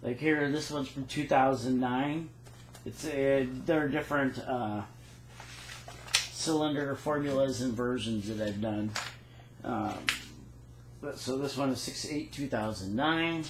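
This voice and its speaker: Halting man in an echoey room. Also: medium pitch, background noise. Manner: halting, reads out dates and patent text. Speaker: phonograph cylinder maker. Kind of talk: casual show-and-tell walkthrough.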